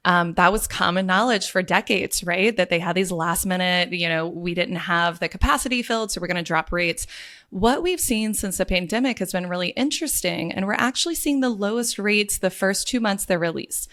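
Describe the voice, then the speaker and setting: A woman's voice: fairly low pitch, moderate pace, a bit bright. A woman who owns a luxury travel agency, a podcast guest chatting upbeat and telling client stories. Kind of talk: podcast interview.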